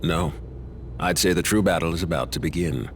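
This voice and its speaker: A middle-aged man playing a grave, calculating leader who speaks deliberately and with authority. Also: low voice, a little hiss or hum. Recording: game music faint behind.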